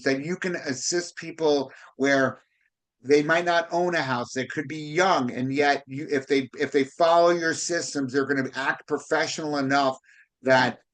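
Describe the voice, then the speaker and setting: A man hosting a podcast, talking casually in a halting way and often repeating words as he goes. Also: fairly high pitch, fairly bright tone. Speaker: podcast host, real estate broker. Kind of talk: conversational podcast interview.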